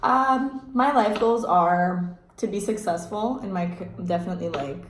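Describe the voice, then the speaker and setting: A woman's voice: mid-range voice, measured pace. Young woman vlogger, jokey casual chat, echoey room with faint hiss. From born in Spain, raised in Miami. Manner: playful, joking, laughs often. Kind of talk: casual chat while doing makeup.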